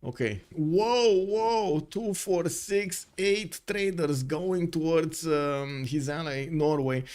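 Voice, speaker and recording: A man's voice: on the high side, takes it slowly, few pauses, a little mellow. A man casting a strategy game live, reading chat and thinking aloud with lots of fillers; English is not his first language. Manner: casual, chatty, thinks aloud with many fillers. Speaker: livestream caster.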